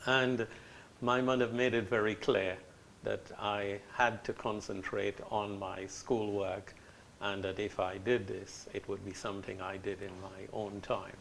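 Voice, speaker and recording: An older man, answering as a guest in an interview and telling his story in a measured, reflective way. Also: lowish voice, flat delivery, vocal fry, a bit bright, slight background noise, rough recording. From Trinidad.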